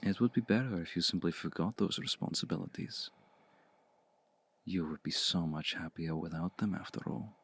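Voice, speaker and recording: Man acting as seductive possessive vampire, deep, husky, creaky, slow deliberate delivery, hushed intimate ASMR. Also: no echo, full character voice. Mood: seductive, coaxing. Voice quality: low, gravelly, breathy. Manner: slow, deliberate, hushed, often breathy near-whisper. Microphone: close mic, dry.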